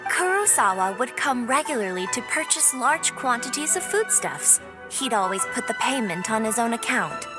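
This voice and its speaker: A woman voicing a composed, polite, shrewd shopkeeper in a video game, measured and courteous. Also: a little high, sing-song. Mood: explanatory. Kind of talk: video game cutscene voice acting. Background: game music.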